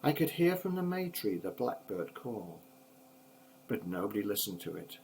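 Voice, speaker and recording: An older man, a grandfather, reading children's poetry aloud in an expressive, rhythmic, measured storybook manner. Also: on the low side, a little hiss or hum, rough recording.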